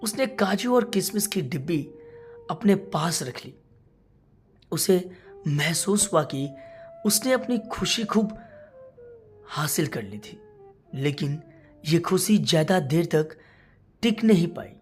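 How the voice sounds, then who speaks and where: Female narrator for emotional bedtime tales: low register, slow deliberate delivery, music underneath. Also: lively intonation. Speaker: storyteller. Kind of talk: scripted narration of an emotional Hindi bedtime story.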